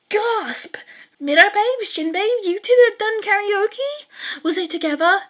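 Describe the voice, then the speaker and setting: A woman's voice: very high voice, animated, slow, a bit bright, pitched above the performer's own voice, full character voice. A woman voicing a bubbly, dramatic teenage girl with exaggerated delivery in a story reading. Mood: excited teasing. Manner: over-the-top, exaggerated delivery.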